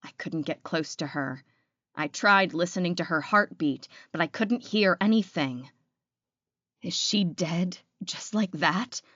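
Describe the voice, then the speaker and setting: A woman's voice: on the low side, unhurried, a bit bright, no echo, lo-fi sound. A woman reading a scripted audiobook, narrating dramatic fiction in the first person.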